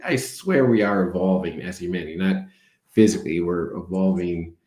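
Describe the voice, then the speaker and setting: An older man, a spiritual teacher, talking calmly and reflectively, explaining ideas with everyday examples. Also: sits low, normal speed, a little hiss or hum. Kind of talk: reflective talk. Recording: roomy echo.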